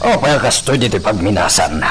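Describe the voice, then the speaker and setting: An actor playing a bewildered, excitable village man in a radio drama, with an Ilocano accent. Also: fairly low pitch, a little fast.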